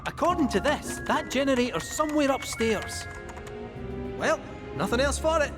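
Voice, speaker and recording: An actor voicing a small, plucky, cheerful talking cat with a Scottish accent and a jaunty manner in a video game. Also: male voice, extremely high pitch, big pitch swings, normal speed. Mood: cheerful confidence. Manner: jaunty, exclamatory, reassuring. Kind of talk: video game character acting. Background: music.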